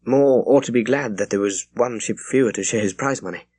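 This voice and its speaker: A man's voice: mid-range voice, a little fast, a little mellow, breathy edge, lightly acted. A man voicing a frail, kindly old admiral who speaks with approving authority in an audiobook. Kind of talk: audiobook character voice.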